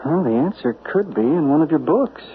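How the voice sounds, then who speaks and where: An actor playing a calm male literary agent in a 1970s radio drama, speaking earnestly and haltingly. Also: a little high, a little hiss or hum, natural voice. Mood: easygoing. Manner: earnest, soft-spoken, halting. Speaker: Dick Harrison, a literary agent. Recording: tinny, lo-fi old radio broadcast.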